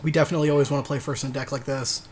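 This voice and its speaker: A man's voice: mid-range voice, steady tone, very fast, slight background noise. A man giving casual commentary on a card game as a podcast co-host, chatty and thinking aloud with a partner.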